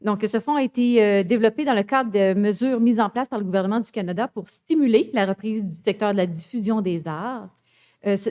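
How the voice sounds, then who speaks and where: A woman reads out a council resolution, keeping a steady pace. Her voice is dark and mellow. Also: medium pitch. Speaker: city councillor.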